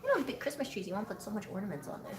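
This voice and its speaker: A woman's voice: mid-range voice, wildly swooping pitch, rapid-fire, background noise. Chatty teasing woman in a roomy shop. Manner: chatty, teasing, laughs often. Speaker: live stream co-host. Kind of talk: casual chat on a live stream.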